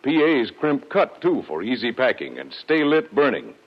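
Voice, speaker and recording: An American man delivering a folksy 1940s radio advertisement with a slightly rough voice. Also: medium pitch, sing-song, moderate pace. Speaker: commercial pitchman. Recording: muffled vintage radio.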